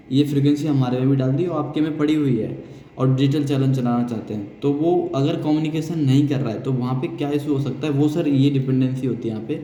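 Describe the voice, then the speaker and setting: A man's voice: medium pitch, warm tone. An Indian man giving a hands-on technical tutorial, explaining step by step and repeating key phrases. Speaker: technical instructor. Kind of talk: hands-on spoken software tutorial. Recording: echoey reverberant room, noisy, distant sound.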